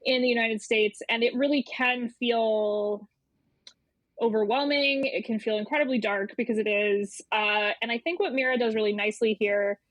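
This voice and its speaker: A woman's voice: a little high, steady tone, moderate pace, a bit bright, no echo. A woman speaking as an interview guest, an editor explaining things in a casual, thoughtful, conversational way with some emphatic repetition.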